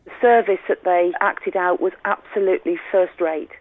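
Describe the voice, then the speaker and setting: A woman's voice: fairly low pitch, normal speed. Lady phoning in with praise, tinny narrow line, no echo. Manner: appreciative. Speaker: listener calling in.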